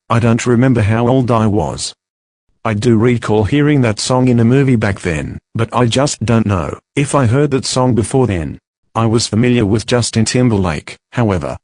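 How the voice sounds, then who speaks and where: A man's voice: mid-range voice, darkish tone, no echo. A man in his twenties co-hosting a talk show, chatting casually and sharing his own recollections. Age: 20s. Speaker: co-host. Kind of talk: casual chat, personal recollections.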